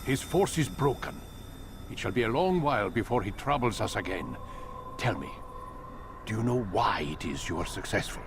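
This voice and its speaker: An actor playing a stern, imperious old master of assassins in a video game, speaking formally and with command. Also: male voice, on the low side, noisy background. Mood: measured, reproving. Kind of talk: dramatic video game acting.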